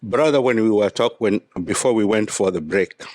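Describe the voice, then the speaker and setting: A man from Kenya hosts a radio interview in a very low voice. He speaks in a measured way and keeps affirming his guest. The recording is dry. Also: darkish tone, no echo. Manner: frequent brief affirmations. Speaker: radio host. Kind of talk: interview host.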